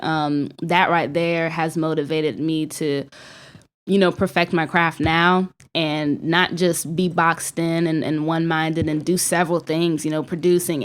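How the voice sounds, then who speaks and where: Giggly young woman from South Carolina. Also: sits low. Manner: warm, giggly, lots of ums. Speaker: guest musician. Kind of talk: casual interview chat.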